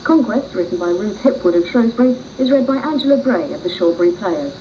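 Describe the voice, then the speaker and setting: Radio announcer, scripted introduction, muffled 1980s tape, clear background noise. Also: medium pitch, animated, a little fast, dark tone.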